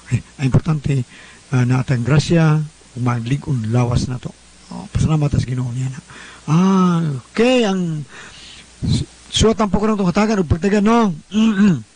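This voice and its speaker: A man's voice: a little high, normal speed, darkish tone, rough recording. A man with a Cebuano Filipino accent hosting a radio show, chattily greeting listeners and introducing letters. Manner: chatty, addresses listeners directly. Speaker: radio host and letter reader.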